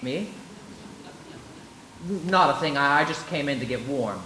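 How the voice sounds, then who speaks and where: An actor as a prickly, awkward small-town young man in a radio drama. His tense, slightly high voice stammers along stubbornly in a roomy, echoing space. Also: lively intonation, measured pace, bright, forceful tone. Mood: irritated, awkward. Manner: stammering, flustered. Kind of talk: live 1940s-style radio play acting. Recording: roomy stage with faint noise.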